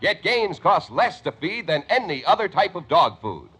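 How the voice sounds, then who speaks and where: An American man giving a folksy, emphatic advertising pitch in 1950s radio style. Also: very animated, moderate pace, bright, forceful tone, husky. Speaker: commercial announcer. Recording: very noisy, clicking vintage radio recording.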